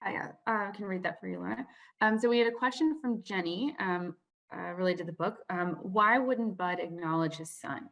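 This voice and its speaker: A woman's voice: fairly low pitch, normal speed, creaky, a bit bright. A woman at an event relaying audience questions, informally paraphrasing them in a tentative way.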